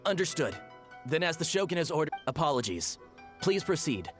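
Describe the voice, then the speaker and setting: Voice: medium pitch, big pitch swings, normal speed, fairly bright tone, faint music behind. An actor voicing a dutiful palace guard in a video game, speaking in a formal, stiff and deferential way.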